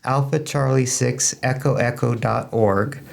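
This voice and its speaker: A man's voice: medium pitch, steady tone, unhurried, creaky. An American man in casual chat as a guest, talkative and joking in a self-teasing way. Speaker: amateur radio club public information officer, guest.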